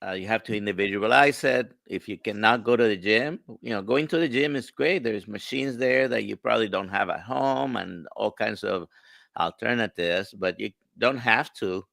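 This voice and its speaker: A man with a Spanish-sounding accent from a stroke, a doctor explaining in slow, halting phrases on a live stream. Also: lowish voice, gravelly, fairly bright tone, no echo, studio quality. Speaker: doctor guest. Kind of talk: casual live-stream chat.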